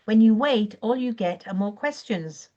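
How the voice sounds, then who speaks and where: A woman with a croaky voice leads a live online training, encouraging and earnest as she speaks. She clears her throat now and then and sometimes catches and corrects her own words. The audio sounds a little dull and lo-fi. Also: fairly high pitch, expressive, normal speed, warm tone. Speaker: online business coach. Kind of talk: live-streamed training talk.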